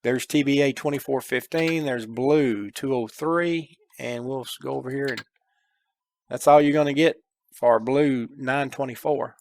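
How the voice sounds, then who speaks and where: A man's voice: medium pitch, a little slow, a little mellow. A middle-aged man giving a casual, folksy step-by-step game tutorial on a headset, addressing his viewers informally. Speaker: gameplay tutorial host.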